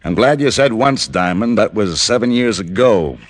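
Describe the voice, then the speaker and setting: A deep-toned American racketeer gone legit. He runs a garage and talks curtly, with tough, guarded sarcasm, in the manner of vintage radio crime drama. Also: expressive, moderate pace. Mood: defensive, sardonic. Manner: tough, curt, sardonic. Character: guarded male ex-counterfeiter turned garage boss. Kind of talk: old-time radio crime drama acting.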